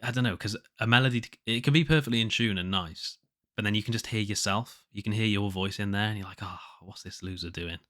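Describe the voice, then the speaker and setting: Guy with a really deep but nasally voice, kinda bright, rambling solo podcast diary, unsure of himself. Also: quick pace. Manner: hesitant, rambling, self-questioning. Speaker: podcast host, musician. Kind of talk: casual solo diary-style chat, thinking aloud.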